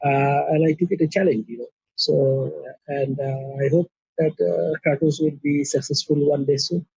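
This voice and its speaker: Earnest middle-aged man from Bangladesh, husky, lots of "you know", muffled line. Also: a little high, steady tone, moderate pace, mellow, no room sound. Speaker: guest, company co-founder. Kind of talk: casual interview. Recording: muffled, dry lo-fi sound.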